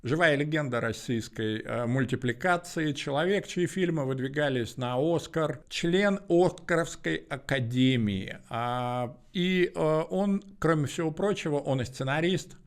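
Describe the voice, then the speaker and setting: A man hosting an interview podcast, introducing and questioning in measured, hesitant short chunks. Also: a little high, clean tone.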